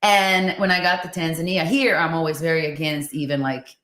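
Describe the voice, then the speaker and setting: A woman's voice: low-pitched, steady tone, brisk, a bit bright, echoey room. A woman from Finland chatting casually in an interview, with many fillers and easy laughter. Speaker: guest running a school in Tanzania.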